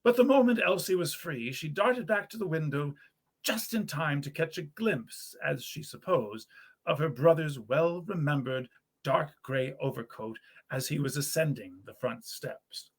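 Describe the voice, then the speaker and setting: A man, an actor, reading a nineteenth-century story aloud in an expressive, genteel storytelling style. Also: a little high, unhurried, breathy edge. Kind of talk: theatrical storytelling of 19th-century literature. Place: period parlor in a historic house.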